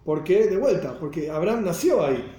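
A man's voice: high-pitched, big pitch swings, fairly quick, dark tone. Buenos Aires man teaching in a roomy space. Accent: Buenos Aires Spanish. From Argentina. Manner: explanatory, asks rhetorical questions, checks listeners follow. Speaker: Torah teacher. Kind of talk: religious class lecture. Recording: roomy echo.

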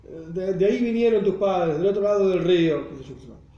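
A man's voice: high voice, lively intonation, quick pace, warm tone, dull audio. Buenos Aires man teaching in a roomy space. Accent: Buenos Aires Spanish. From Argentina. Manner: explanatory, asks rhetorical questions, checks listeners follow. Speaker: Torah teacher. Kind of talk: religious class lecture. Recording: roomy echo.

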